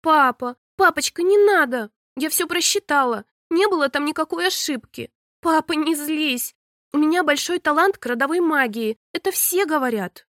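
A young, mischievous mermaid princess with a high, bright, wheedling voice, as a woman narrator puts her on. She pouts and pleads with a father in a close, dry sound. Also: lively intonation, no room sound. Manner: bright, quick, wheedling, pouty.

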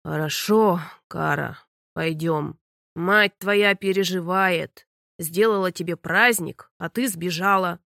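Woman doing a big grumpy sea king dad, low slow booming scolds, dry close sound. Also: gravelly, a bit bright, no echo, full character voice. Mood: grumbling calm. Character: blustering gruff sea king, stern father.

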